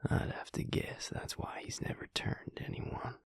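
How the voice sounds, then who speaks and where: A man playing a protective, weary but tender vampire mate in an intimate audio roleplay, speaking in a hushed, breathy, gravelly voice with a deliberate, reassuring manner. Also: dry recording, whispered, studio quality, natural voice. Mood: hushed, reflective.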